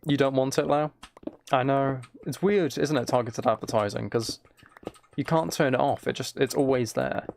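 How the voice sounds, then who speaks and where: A man livestreaming a game with a flat, mellow voice, rambling casually and softly to his viewers in a low-key way. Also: normal speed, creaky, a little hiss or hum. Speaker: live streamer. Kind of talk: casual gaming livestream chat, soft ASMR-like. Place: desk at home, live stream.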